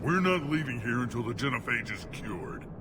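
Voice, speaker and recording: An actor playing a gruff, battle-hardened alien warrior chieftain in a video game, speaking in blunt, forceful bursts. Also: male voice, medium pitch, sing-song, moderate pace, lightly acted. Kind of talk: dramatic video game acting. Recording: loud music and effects behind. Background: loud music, vehicle noise.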